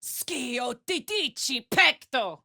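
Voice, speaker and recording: A woman's voice: high voice, animated, slow, gravelly, bright, forceful tone, dry recording, clean studio sound, full character voice. An actress voicing a brash, big-hearted young female warrior in a video game, blunt and swaggering, tough yet playful and emotionally open. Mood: theatrical incanting. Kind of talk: video game character voice lines.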